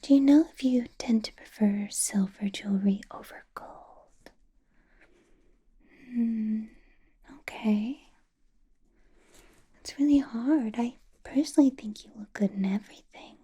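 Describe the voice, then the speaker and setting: A woman's voice: on the high side, a little slow, long pauses, breathy edge. A woman whispering as a teasing vampire boss. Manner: soft whispering, playful and controlling. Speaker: ASMR artist. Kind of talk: ASMR roleplay.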